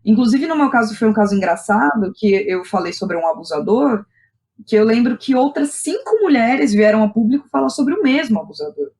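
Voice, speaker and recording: A woman's voice: a little high, big pitch swings, fairly quick, a little mellow. Brazilian woman talking candidly, casual and informal. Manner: candid, informal, with frequent slang and tag questions. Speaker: guest, playwright and director. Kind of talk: casual personal storytelling in a podcast interview.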